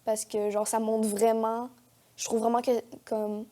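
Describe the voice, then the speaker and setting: A woman's voice: a little high, completely flat delivery, measured pace, dark tone. A teenage girl with a Quebec French accent chatting casually as an interview guest, with youthful, informal phrasing. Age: teens. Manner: youthful informal talk peppered with "genre" and "comme". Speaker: guest, young actress. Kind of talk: casual chat. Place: TV studio.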